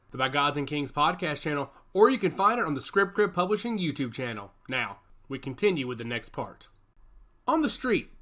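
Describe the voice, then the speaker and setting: Male narrator, expressive, lively pitch, bright, slightly high. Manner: expressive storytelling. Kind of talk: audiobook narration.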